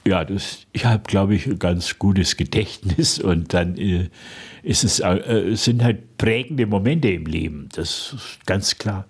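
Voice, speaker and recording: Franconian-accented older man, deep husky voice, unhurried interview guest. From Franconia, Germany. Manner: slow, thoughtful, frequent hesitations with "äh". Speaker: interview guest, dialect poet. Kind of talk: radio interview, reminiscing.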